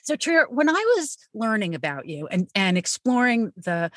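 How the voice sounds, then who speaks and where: A woman's voice: mid-range voice, sing-song, moderate pace, fairly bright tone, no room sound, clean studio sound. A woman hosting a radio interview, introducing her guest with effusive praise. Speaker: radio show host. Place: radio studio. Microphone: studio mic.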